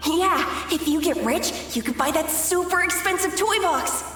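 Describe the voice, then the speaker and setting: A woman voicing an eager, excitable little child in a video game. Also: extremely high pitch, measured pace, slight background noise. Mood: curious. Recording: echoing hall, distant, music behind.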